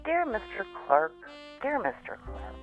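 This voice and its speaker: A woman's voice: medium pitch, sing-song, unhurried. A woman acting a distraught, pleading older mother in a radio drama, heard through a telephone filter. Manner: pleading, fretful. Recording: tinny phone line, music.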